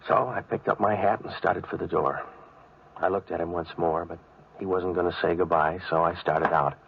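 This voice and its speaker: An American actor playing a wry, hard-boiled troubleshooter in a 1940s radio noir, with deadpan, sardonic delivery. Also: male voice, low voice, normal speed, creaky, a little hiss or hum. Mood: world-weary. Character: wry, hard-boiled male private troubleshooter, narrating his case. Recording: dull old radio recording.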